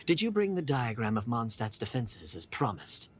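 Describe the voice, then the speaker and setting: An actor playing a shrewd, secretive foreign agent in a video game, with a measured, formal, condescending manner. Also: male voice, medium pitch, very animated, over music, close to an everyday voice. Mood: cool, businesslike.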